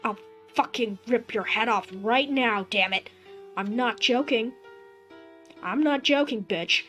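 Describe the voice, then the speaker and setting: A woman voicing a young man who shouts and swears in panic at what looks like his dead mother. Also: a little high, lively intonation, takes it slowly, soft background music. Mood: furious.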